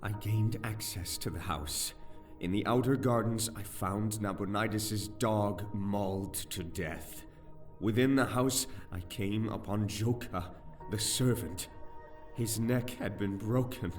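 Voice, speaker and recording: A nervous noble plotter, slow dramatic delivery, sorta distant with loud music under it. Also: male voice, fairly low pitch. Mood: grave, fearful. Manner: dramatic, halting. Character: anxious, high-born conspirator shaken by horror. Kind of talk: full-cast fantasy audio drama acting.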